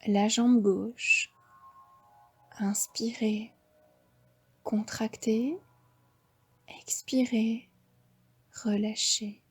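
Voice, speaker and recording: Slow soft-spoken French woman guiding relaxation. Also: fairly high pitch, fairly even intonation, breathy edge, soft background music. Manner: slow, soft, half-whispered breathing cues. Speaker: yoga nidra teacher. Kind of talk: guided relaxation instruction.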